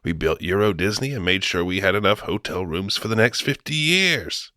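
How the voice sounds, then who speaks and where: A man playing a boastful, excitable company boss given to wistful self-pity, theatrical and rambling in a comedic radio drama. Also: low voice, normal speed, no room sound, clean studio sound.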